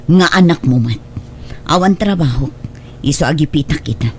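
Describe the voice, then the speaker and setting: A woman's voice: low voice, expressive, normal speed, darkish tone, slight background noise. A woman playing a hurt, long-suffering single mother in a radio drama, pleading for her child in an Ilocano accent. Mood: reproachful. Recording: music bed under the voice.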